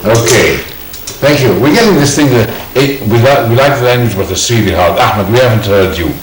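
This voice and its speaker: A man hosting a call-in studio discussion. He talks briskly, cutting in and steering the conversation. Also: mid-range voice, expressive, recorded from a distance, over a noisy crowd. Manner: brisk, interjecting, steering the talk. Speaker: TV talk show host. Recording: echoey studio, voice off-mic with faint noise.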